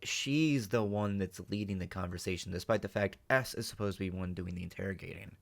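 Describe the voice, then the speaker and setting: A man's voice: deep voice, fairly quick, vocal fry. A man hosting a reaction video, giving off-the-cuff analytical commentary with emphatic check-ins.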